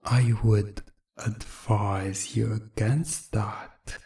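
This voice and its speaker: A man voicing a menacing, seductive male vampire in a hypnosis roleplay, hushed, taunting and deliberate. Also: lowish voice, fairly even intonation. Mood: cool warning.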